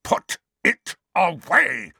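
A man voicing a furious, blustering middle-aged uncle in a croaky, snarling voice for a storybook. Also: mid-range voice, wildly swooping pitch, unhurried, sharp tone, no room sound, studio quality, pitched above the performer's own voice, exaggerated voice.

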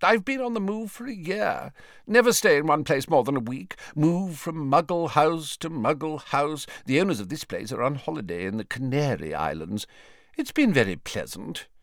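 A man's voice: on the high side, animated, moderate pace, dry recording, clean studio sound, voice pushed up. A man voicing a fussy, tired, blustering old wizard in an audiobook, with blunt, indignant complaining. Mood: grudging, self-satisfied. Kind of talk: audiobook character voice.

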